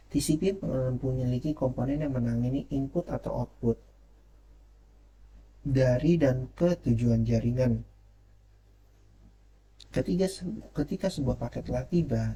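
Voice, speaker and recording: A man's voice: mid-range voice, mellow, a little hiss or hum, rough recording. A man giving a formal read-out lecture presentation, occasionally stumbling over words as he reads. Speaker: presenter reviewing a technical paper.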